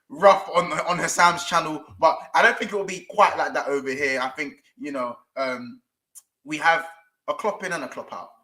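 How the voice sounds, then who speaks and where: A man hosting a casual football show, animated and slangy, often repeating himself. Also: a little high, roomy sound. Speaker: host.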